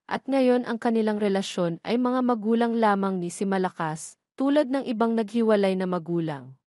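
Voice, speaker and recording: A woman's voice: medium pitch, darkish tone, dry recording. Filipino woman narrating a scripted story, dry sound. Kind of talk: scripted audio drama narration.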